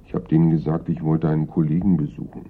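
Evasive workman, deep husky voice. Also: little pitch movement, fast talker, exaggerated voice. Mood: matter-of-fact. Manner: offhand, colloquial, evasive. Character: evasive, simple Italian workman in his thirties, stubbornly nonchalant. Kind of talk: radio play acting. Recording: muffled, narrow-band old radio recording.

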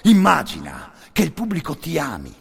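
A man with a husky, gravelly voice delivers an intense, theatrical scripted monologue for radio theatre, swelling between hushed and forceful. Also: on the high side, wildly swooping pitch, normal speed. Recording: long hall reverb.

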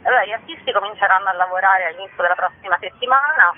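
A woman's voice: mid-range voice, very fast, slight background noise. A woman giving an informal, chatty radio interview as a festival organiser, explaining things conversationally. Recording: muffled narrow phone line on radio.

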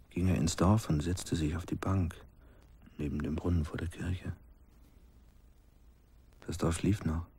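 An actor playing a grim villager recalling cruel childhood events, deliberate and hushed, in a radio play. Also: male voice, sits low, full character voice. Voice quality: gravelly, husky, breathy. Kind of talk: slow dramatic radio play storytelling.

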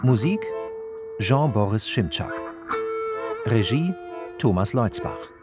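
A man announcing radio drama credits in a measured, formal, scripted way. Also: fairly low pitch, sing-song. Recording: loud guitar music behind voice.

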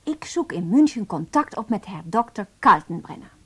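An actress playing an affectionate, practical wife in a Dutch radio play, with a breathy voice. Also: female voice, medium pitch, very animated, normal speed, fairly bright tone. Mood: practical, attentive.

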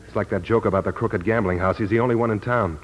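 A man's voice: fairly low pitch, steady tone, fast, close to an everyday voice, poor audio. A man playing a guarded, weary, self-doubting war veteran in a 1940s radio drama, with terse, downbeat speech. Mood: troubled, confessional.

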